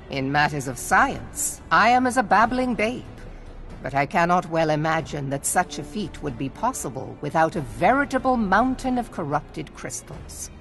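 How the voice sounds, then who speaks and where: An actress playing a stern, decisive admiral in a fantasy game, authoritative and formal with archaic phrasing. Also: female voice, lowish voice. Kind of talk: scripted fantasy game dialogue. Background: music.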